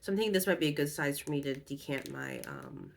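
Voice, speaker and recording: A woman's voice: deep voice, huge pitch swings, fast talker, vocal fry, a little hiss or hum. A young woman vlogging about makeup, her voice a bit off as she gets over an illness, chatty and rambling with lots of ums and self-corrections. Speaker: beauty vlogger. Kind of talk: casual haul and review chat.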